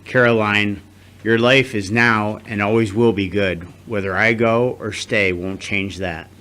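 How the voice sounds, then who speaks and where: Low-voiced repentant husband played by a man. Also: steady tone, measured pace, pushed and bright. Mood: defensive. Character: repentant, defensive unfaithful husband. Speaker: actor in an audio drama. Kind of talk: scripted audio drama acting.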